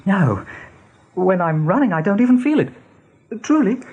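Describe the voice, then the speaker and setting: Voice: mid-range voice, very animated, moderate pace, a little mellow, slightly rough, lo-fi sound. An actor playing a timid, wide-eyed little young rabbit in a radio play. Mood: brave. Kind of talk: radio drama acting.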